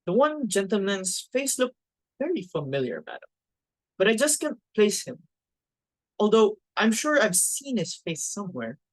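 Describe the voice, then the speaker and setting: A woman as a formal servant, lively intonation. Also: mid-range voice, normal speed, no echo, low-quality recording. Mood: puzzled, deferential. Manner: formal, polite. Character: dutiful, deferential household servant. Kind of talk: dramatic reading of a stage play.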